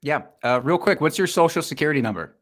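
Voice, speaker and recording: A man in his thirties with a super nasal, bright, fairly high voice. His pitch jumps around a lot as he cracks dry, understated jokes. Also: lively intonation, fast talker. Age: 30s. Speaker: guest. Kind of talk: casual chat.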